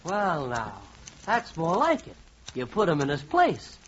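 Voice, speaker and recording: High, animated wry radio narrator. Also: male voice, measured pace, breathy edge, heavily acted. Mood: smug, mock-encouraging. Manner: wry, confiding, mock-encouraging. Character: mock-encouraging narrator, wry inner voice of the customer. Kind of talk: second-person comic narration, 1940s radio. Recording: muffled 1940s radio recording.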